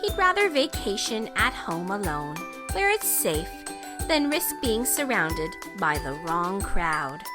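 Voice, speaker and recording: Animated woman reading a children's story. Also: medium pitch, slow pace. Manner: expressive, emphatic, clearly phrased for children. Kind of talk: read-aloud storytelling for young children. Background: children's music.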